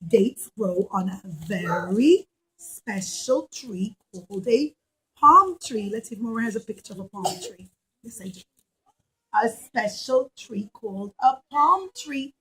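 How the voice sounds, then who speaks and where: A woman preschool teacher telling a story to young children in an animated, questioning way, repeating key words. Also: on the high side, measured pace, slightly rough, rough recording.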